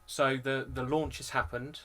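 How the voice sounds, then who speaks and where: Young man, mid-pitched voice, informal, chatty, casual vlog presenting. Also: little pitch movement, measured pace, over loud music. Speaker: video channel host. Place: indoor music studio.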